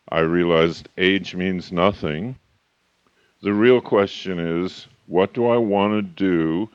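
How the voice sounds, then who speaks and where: A man in his fifties or older giving a motivational talk as a coach and author, emphatic and slowing down hard on key points. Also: sits low, darkish tone, no echo. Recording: slightly muffled, dry recording.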